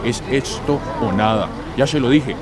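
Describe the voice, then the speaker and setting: Casting: man playing ruthless coyote smuggler, mid-pitched, curt and domineering, radio serial, busy crowd and vehicles behind. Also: measured pace, lightly acted. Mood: curt, cold. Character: hard, impatient male people smuggler barking orders. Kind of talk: radio drama acting.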